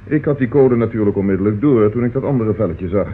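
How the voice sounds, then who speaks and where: Actor as a dry police inspector, thin old recording. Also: male voice, fairly low pitch, fast, narrow, tinny audio, a little hiss or hum, close to an everyday voice. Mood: dry, probing. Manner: dry, ironic, controlled. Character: shrewd, persistent, dryly ironic male police inspector. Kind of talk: radio drama acting.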